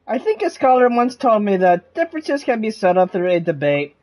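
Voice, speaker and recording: A woman's voice: mid-range voice, big pitch swings, fairly quick, darkish tone. A woman streaming a game, casually reading dialogue choices aloud and stumbling over words as she goes.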